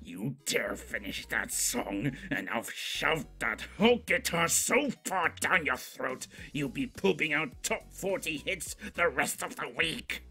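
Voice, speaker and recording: A man's voice: on the high side, very animated, slow pace, hardly any pauses, pushed and bright, faint music behind, pitched above the performer's own voice, full character voice. A man voicing a theatrical, irritable male spirit of chaos in a comic storybook reading. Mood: threatening. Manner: theatrical, irritable, swinging to wonder. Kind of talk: comedic storybook character voice.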